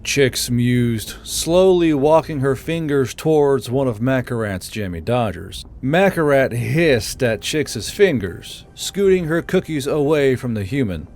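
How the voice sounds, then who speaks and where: A man's voice: medium pitch, expressive, a little slow, hardly any pauses, darkish tone. A man reading scripted third-person narration for a fantasy audiobook.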